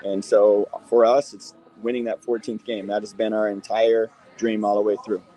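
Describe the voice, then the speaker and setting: An American man, a high school football coach, answering interview questions earnestly and repeating phrases for emphasis. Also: little pitch movement, normal speed, dark tone, rough recording.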